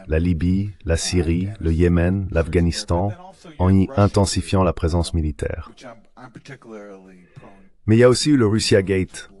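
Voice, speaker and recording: A man's voice: deep voice, darkish tone. A man speaking as a panelist, giving political commentary in a translated voice-over.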